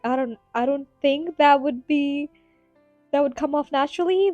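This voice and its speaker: Casting: higher-voiced woman, rambling podcast host, giggly, voice tiring, dry close mic. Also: darkish tone, no room sound. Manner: chatty, giggly, rambling with fillers. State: tired, strained voice. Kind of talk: casual solo podcast ramble.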